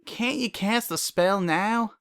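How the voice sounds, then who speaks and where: A man's voice: high voice, slow, creaky, fairly bright tone, clear, resonant tone, dry recording, studio quality, voice pushed up, full character voice. A man voicing a stubborn, flustered country mare with a folksy, plain-spoken manner in a story reading. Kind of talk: dramatic fan fiction reading.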